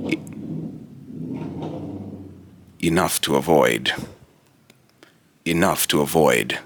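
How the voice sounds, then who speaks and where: Man acting as otherworldly immortal being, robotic, raspy and husky, hard-edged forceful tone, solemn, archaic grandiose monologue, halting delivery. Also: no echo, full character voice. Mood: consoling, solemn. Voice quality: deep, gravelly, raspy. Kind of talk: dramatic character monologue.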